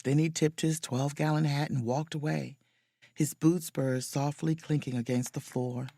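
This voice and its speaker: A woman's voice: low voice, fairly even intonation, normal speed, warm tone, no room sound. An actress playing an inquisitive woman space officer in a romcom audio drama, speaking expressively. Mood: indignant. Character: woman space officer on holiday, keen amateur sleuth. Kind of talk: romcom audio drama dialogue and first-person narration.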